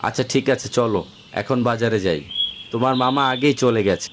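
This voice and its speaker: A man's voice: mid-range voice, brisk, background noise, natural voice. A man voicing a patient, indulgent father in a cartoon. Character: patient, indulgent father guiding his small child. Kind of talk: cartoon voice acting.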